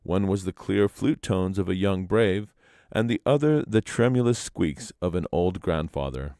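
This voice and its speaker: A man's voice: deep voice, animated, normal speed, mellow, no echo, studio quality. A man narrating a traditional legend aloud as a storyteller, in a live reading. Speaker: storyteller and reader.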